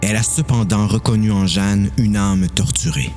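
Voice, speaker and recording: A man's voice: lowish voice, moderate pace, a little mellow, faint music behind. A man with a Quebec French accent narrating a scripted, dramatised story.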